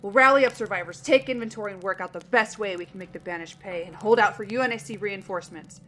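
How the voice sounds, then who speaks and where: A woman's voice: medium pitch, fast, pushed and bright, close to an everyday voice. A woman playing a resolute marine sergeant in an audio drama, with a firm, rallying military manner. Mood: determined, rallying. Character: resolute female marine sergeant taking command.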